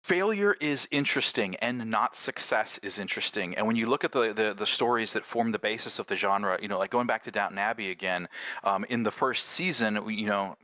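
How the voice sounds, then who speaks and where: A man's voice: fairly low pitch, fairly quick, bright, forceful tone, no echo. A man who creates games, casually explaining design in an articulate way and often checking that the listener follows. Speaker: game creator in conversation.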